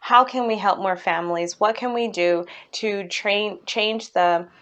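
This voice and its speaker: A woman speaking as a company CEO, telling a personal story in a promotional talk, earnest and halting, with stress on key words. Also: mid-range voice, a bit bright, muffled recording.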